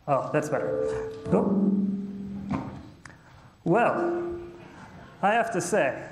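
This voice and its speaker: Fussy ancient male geographer, a man acting it in a church skit, high, animated, lecturing. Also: very slow, loud music behind the voice, exaggerated voice. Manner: theatrical, lecturing. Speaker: skit actor. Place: church sanctuary. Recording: echoey church hall.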